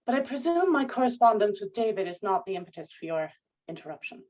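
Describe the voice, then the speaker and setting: A woman's voice: medium pitch, sing-song, fast, darkish tone, natural voice. A woman playing a composed, controlling wife in a period drama table read, speaking in a poised, formal way. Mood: guarded, formal.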